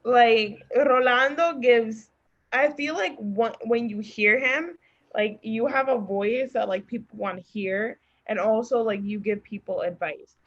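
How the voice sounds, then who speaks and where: A woman's voice: fairly high pitch, unhurried, dull audio. A woman chatting casually and earnestly as an encouraging podcast guest. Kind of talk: casual chat. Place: remote group call.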